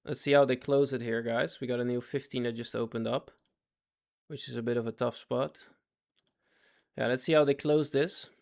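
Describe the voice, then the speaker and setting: A man's voice: medium pitch, fairly even intonation, normal speed, vocal fry, long pauses, a little mellow. Guy rambling through live trades to his chat, voice going, says stuff twice. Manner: talks in fits and starts, repeats himself, asks chat to follow. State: losing his voice. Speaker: day trading livestreamer. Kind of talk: live trading commentary to chat.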